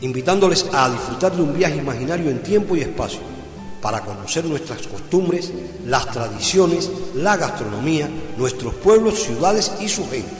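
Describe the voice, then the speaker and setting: A man hosting a radio program, reading scripted narration in a measured, poetic way. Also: a little high, animated. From Cuba. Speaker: radio host. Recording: reverberant room with soft music.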